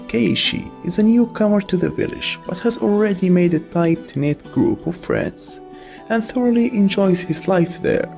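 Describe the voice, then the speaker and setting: Gravelly man narrating with lively pitch. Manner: opinionated, reviewing games. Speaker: video essay narrator. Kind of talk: scripted commentary narration.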